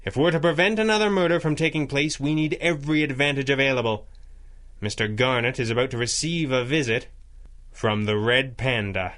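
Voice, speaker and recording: A man playing a stern masked hero with a measured, formal, authoritative delivery in a 1930s-set radio drama. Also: medium pitch, a bit bright, natural voice. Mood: brisk, commanding. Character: stern, commanding masked male crime-fighter hero.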